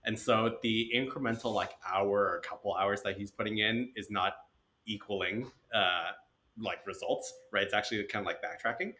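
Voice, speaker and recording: A man's voice: on the low side, normal speed. A man, a podcast guest, talking casually with frequent "right?" and "like". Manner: relaxed, uses "right?" and "like". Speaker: podcast guest, venture partner. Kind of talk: casual conversation.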